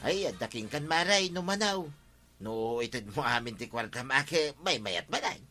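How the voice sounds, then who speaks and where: A man's voice: mid-range voice, expressive, normal speed, pushed and bright, faint music behind. A man playing a grumbling village woodseller in a comedy radio drama, exclaiming and complaining with an Ilocano accent. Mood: exasperated. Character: grumbling working village man who sells firewood.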